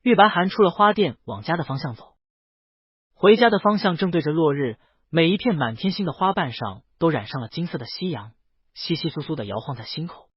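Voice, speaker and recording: A man narrates a story in a fairly high, lively and widely varied voice. The delivery is scripted and dramatic, with a husky edge. The recording is dry and a little dull. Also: fairly quick, dry recording. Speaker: audiobook narrator.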